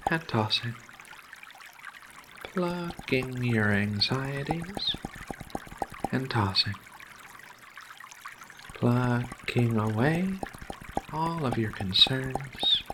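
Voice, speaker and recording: Man voicing a slow, gentle feline alien woman. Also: mid-range voice, noisy background. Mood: soothing. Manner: soothing, hesitant, slow reassuring delivery. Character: gentle giant feline alien researcher Ragna, female, caring. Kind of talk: ASMR roleplay.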